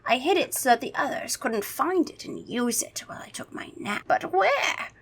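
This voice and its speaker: A woman's voice: high-pitched, animated, moderate pace, gravelly, a bit bright, voice pushed up, exaggerated voice. A woman voicing a frail, forgetful old male vampire count in a storybook, by turns menacing and weary, with a theatrical delivery. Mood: anxious muttering. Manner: theatrical impression, muttering and wailing at times.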